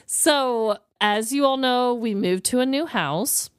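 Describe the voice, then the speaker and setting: A woman podcast co-host telling stories casually, expressive and candid, swearing freely. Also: fairly high pitch, slow, smooth, no room sound, clean studio sound. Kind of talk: casual conversational storytelling.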